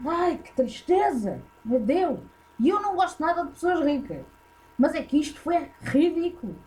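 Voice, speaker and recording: A woman's voice: high voice, very animated, moderate pace, a little mellow, rough recording. A woman with a European Portuguese accent, hosting a casual reaction video and giving blunt, opinionated commentary full of fillers.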